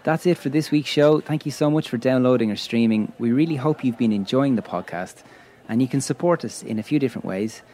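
A man's voice: medium pitch, brisk, few pauses, dark tone, slight background noise. A man hosts the show in a wry, friendly emcee style, steering the questions and wrapping things up. His voice sits in the middle of the range and rings out with the long reverb of a hall. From Ireland. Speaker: podcast host. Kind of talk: hosting a live podcast Q&A.

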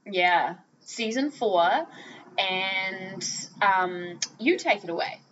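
A young woman with a New Zealand accent co-hosts a podcast from her home office, upbeat and quick to giggle. Her voice is a little hoarse and sniffly. The recording is dull, with a faint hiss behind her. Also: medium pitch, a bit bright, far from the mic. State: slightly hoarse with a runny nose. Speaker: podcast co-host. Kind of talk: casual chat.

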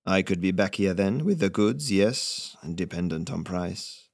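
Man playing a secretive merchant, lyrical lilt, formal, low voice, dry sound. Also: lively intonation, unhurried, gravelly, darkish tone, husky, no room sound, heavily acted. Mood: guarded.

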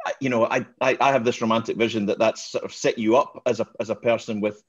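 A man hosting an interview podcast, enthusiastic and quick with affirmations. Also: on the low side, fairly even intonation, slightly rough. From Scotland. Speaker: podcast host. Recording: dull lo-fi sound.

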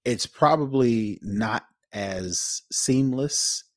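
A man's voice: mid-range voice, very slow, no room sound, studio quality. A man co-hosting a tech podcast in casual chat, explaining things informally. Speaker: podcast co-host.

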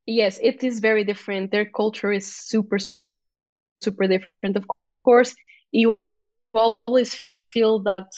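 A talkative young woman with a Portuguese accent answers in a relaxed interview, restarting her sentences and filling gaps as she goes. Her voice sits mid-range and sounds close and dry, a little dull and lo-fi. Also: mid-range voice, a little slow, no room sound. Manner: talkative, many restarts and fillers, laughs easily. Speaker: guest athlete. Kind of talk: casual interview chat.

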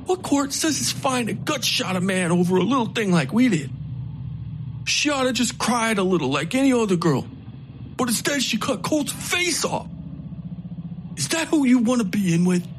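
A man voicing a gut-shot, feverish, bigoted man who talks in coarse folksy grammar, in a dark audio story. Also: sits high, a little hiss or hum, voice pushed up.

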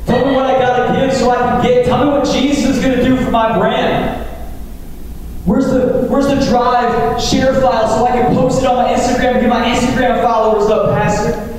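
A man's voice: extremely high pitch, level tone, moderate pace, darkish tone, voice pushed up. A man playing a self-centred churchgoer who makes repetitive, whiny demands of a pastor, as a mock in a sermon. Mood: whiny, demanding. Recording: echoey hall, distant mic, faint noise.

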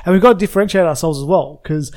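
A man's voice: sits high, very fast, darkish tone. An Australian man co-hosting a casual podcast, rambling through his points with many fillers and restarts. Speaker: podcast co-host. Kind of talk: casual chat.

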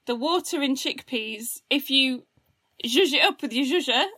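A woman's voice: high voice, unhurried, pushed and bright, slightly rough. A woman co-hosting a podcast, talking in a casual, chatty style. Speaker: podcast co-host. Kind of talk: casual chat.

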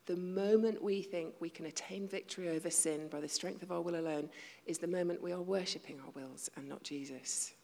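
A woman with a fairly low, gravelly voice preaches to her congregation. She talks in a conversational way, pokes fun at herself, and sometimes drops into a near-whisper. The sound rings out with a long reverb, as in a big church hall. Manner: conversational, self-deprecating, with hushed asides. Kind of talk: sermon.

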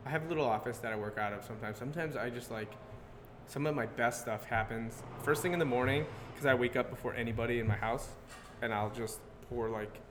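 Brief: man, 30s, rambling podcast guest, mid pitch, noisy roomy brewery recording. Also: steady tone. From Connecticut. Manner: loose and rambling, heavy on "like", quick laughs. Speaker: guest, graphic artist. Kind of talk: casual podcast chat. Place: brewery taproom. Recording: roomy live venue with loud background noise.

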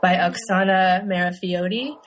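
A woman's voice: on the low side, fairly even intonation, normal speed. A woman talking thoughtfully in a casual discussion, hesitating with fillers as she works through her points. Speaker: panelist.

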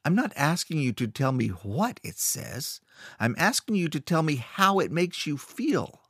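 Brief: a sinister male sorcerer-mentor voiced by a man, smooth and controlled with menace underneath, pitched a little above the reader's own voice, in a dry, close recording. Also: very animated, a little slow, fairly bright tone, no room sound, heavily acted. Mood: menacing calm. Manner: smooth and controlled with a threatening edge. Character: sinister scheming male rune master, cold and menacing.

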